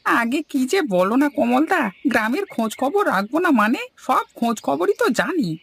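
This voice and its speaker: A woman's voice: high-pitched, big pitch swings, normal speed, gravelly, heavily acted. A woman voicing a chatty, agreeable male tea seller in a village cartoon. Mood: chatty, boastful. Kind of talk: animated cartoon dialogue in Bengali.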